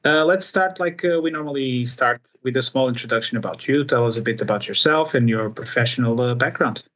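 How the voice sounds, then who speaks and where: A man's voice: medium pitch, brisk, a bit bright, lo-fi sound. A man hosting a podcast, interviewing a guest in a conversational way. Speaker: podcast host.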